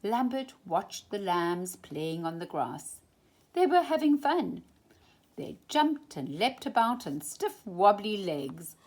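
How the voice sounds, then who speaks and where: A woman storyteller reads a children's picture book aloud. Her pitch is medium but swings widely, and she goes slowly, leaning on and stretching key words for expression. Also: sing-song, darkish tone. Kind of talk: animated children's storybook reading.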